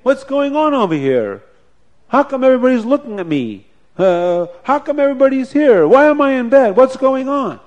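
A man's voice: very high-pitched, muffled recording, voice pushed up. A man putting on a bewildered father jolting awake. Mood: bewildered, eager. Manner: rapid-fire excited questions. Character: bewildered sick father suddenly waking, eager.

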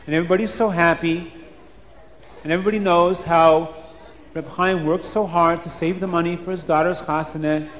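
A man's voice: fairly high pitch, fairly even intonation, normal speed, fairly bright tone, in a large hall, close to an everyday voice. A man voicing village townsfolk who confide sad news. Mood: sorrowful. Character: gossiping village townsfolk, sorrowful.

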